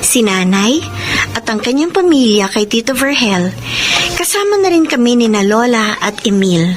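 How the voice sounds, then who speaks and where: An actress playing a dutiful, selfless young granddaughter in an emotional radio drama, speaking earnestly and respectfully. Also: female voice, on the high side, very animated, moderate pace, music in the background. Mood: content, reflective.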